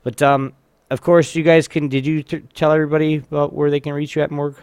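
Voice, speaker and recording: A man's voice: a little high, level tone, fast talker, darkish tone, smooth, no room sound. A man hosting a podcast in a casual, rambling, laid-back and informal way. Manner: informal, laid-back, loses train of thought. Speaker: podcast host.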